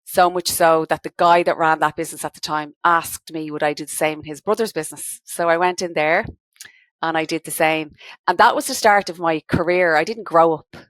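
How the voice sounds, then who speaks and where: Dublin woman guest, 40s, low voice, dry close sound, chatty storyteller. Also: fairly even intonation, fairly quick, a bit bright, dry recording. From Dublin, Ireland. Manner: chatty, explains step by step. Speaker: guest, credit management business owner. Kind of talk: podcast interview.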